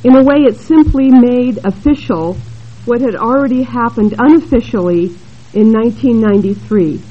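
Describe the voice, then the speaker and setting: Loud-voiced woman giving a conference talk. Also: a little high. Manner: deliberate, laying out points in order. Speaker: panel speaker. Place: conference room with an audience. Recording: dull lo-fi conference tape with faint hiss.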